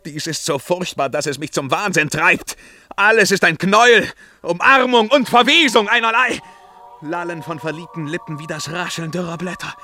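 An actor playing a brooding, anguished emperor burdened by his crown, declaiming verse in a theatrical radio drama, swinging between hushed murmurs and outcries. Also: high-pitched, normal speed. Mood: frantic torment.

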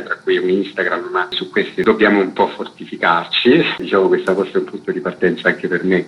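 Deep-voiced man, academic, dull sound. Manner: academic, formal wording. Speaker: archaeologist and exhibition curator being interviewed. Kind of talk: explanatory radio interview.

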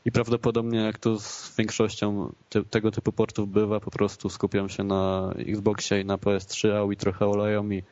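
A man's voice: on the low side, monotone, normal speed, few pauses, a little mellow. A man hosting a casual video game podcast, with teasing banter. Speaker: podcast host. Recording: dull lo-fi voice recording.

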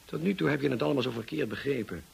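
An actor playing a brusque, teasing, moody gentleman in a Dutch radio drama, speaking in a dry, commanding way. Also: medium pitch, racing, creaky, slightly rough, exaggerated voice. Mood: brusque, insistent. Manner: dry, commanding, clipped questioning.

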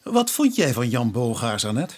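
An actor playing a courteous, methodical police inspector in a radio play, questioning in a measured, businesslike way. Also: male voice, medium pitch, sing-song, a little mellow, slightly rough. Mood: probing. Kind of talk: naturalistic radio play dialogue.

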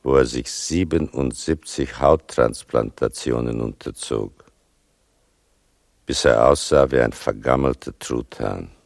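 An actor playing a grave older storyteller, giving a measured literary narration in a radio play. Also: male voice, extremely low pitch, gravelly, dry recording, studio quality, heavily acted.

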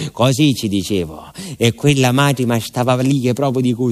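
An actor playing a naive, talkative village postman with a southern Italian accent, telling his story in a confiding, halting radio monologue. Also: mid-range voice, close to an everyday voice. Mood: wry, confiding. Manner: folksy, confiding, with hesitations and repetitions.

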